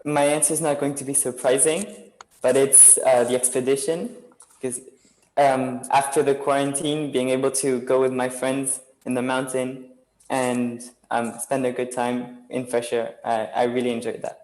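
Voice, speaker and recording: Teen boy panelist, flat voice, echoey room. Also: medium pitch, moderate pace. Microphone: video call.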